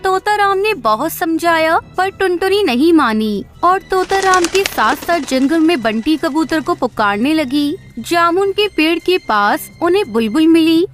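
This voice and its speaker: A female narrator reads a scripted children's story. Her voice is very high, and music plays clearly behind her. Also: expressive, a little slow.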